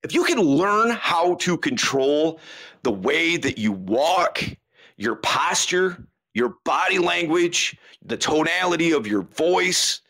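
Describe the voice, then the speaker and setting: A man's voice: on the high side, animated, slow, pushed and bright. A man talking as a guest in a casual interview, emphatic and loose, often tossing in "man" as he makes his points. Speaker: podcast guest, dating coach.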